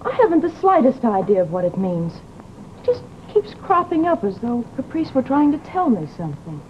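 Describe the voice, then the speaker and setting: Coy, lilting radio-drama hostess. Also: female voice, on the high side, very animated, normal speed. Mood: coy, puzzled. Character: coy, unflappable woman hosting a haunted house guest. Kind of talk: old-time radio drama acting. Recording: muffled, noisy vintage radio recording.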